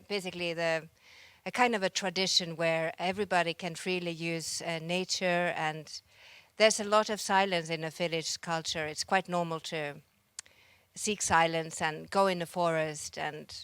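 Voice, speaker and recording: A woman's voice: fairly low pitch, fairly bright tone, clean tone, no room sound. A woman from Finland with a Finnish accent, an artist giving a reflective conference talk and often searching for words. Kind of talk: conference presentation. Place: conference hall stage.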